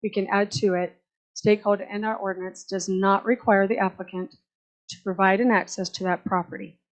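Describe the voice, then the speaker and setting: A woman's voice: medium pitch, fairly even intonation, moderate pace. A woman on city staff explaining code requirements at a public meeting. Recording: dull lo-fi meeting audio.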